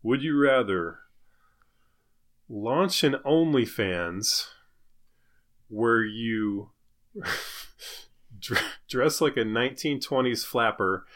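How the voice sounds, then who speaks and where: A man's voice: mid-range voice, expressive, drawn-out words, pauses often, a bit bright. A man co-hosting a casual comedy podcast, bantering in a wry, teasing, jokey manner. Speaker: podcast co-host.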